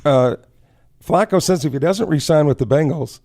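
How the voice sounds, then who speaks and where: A man co-hosting casual sports talk radio, animated and given to folksy exclamations. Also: fairly high pitch, normal speed.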